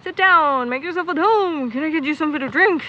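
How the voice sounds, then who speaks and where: A woman's voice: high voice, a little fast, pushed and bright. A young woman playing a stubborn, grumbling searcher in an audio drama, candid and wry as she thinks aloud. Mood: grumbling, musing. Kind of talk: audio drama monologue into a recorder. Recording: muffled, noisy outdoor-style ambience.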